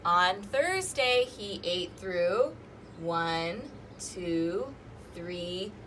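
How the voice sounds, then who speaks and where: A woman hosting a children's storytime, reading rhymes and picture books aloud in an animated, sing-song, exaggerated way. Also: lowish voice, very slow, a little hiss or hum. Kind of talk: interactive children's read-aloud with rhymes and fingerplay.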